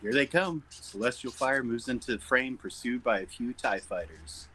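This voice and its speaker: A man's voice: mid-range voice, lively intonation, normal speed, bright, forceful tone, slightly rough, poor audio. A man narrating script directions in an informal read-through, stumbling on words and correcting himself. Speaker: narrator reading stage directions.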